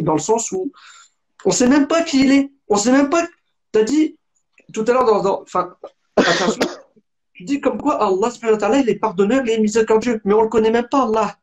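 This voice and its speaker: High-pitched young man in his twenties, casual and rambling, with many restarts. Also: a little fast, darkish tone. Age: 20s. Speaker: interviewer. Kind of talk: conversational religious discussion.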